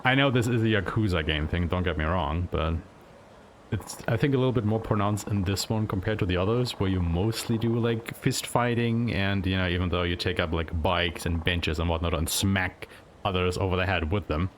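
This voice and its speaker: A man's voice: sits low, moderate pace. A man giving casual, rambling game commentary as a streamer, with a tentative, wry way of talking and background noise. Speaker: speedrunner and streamer.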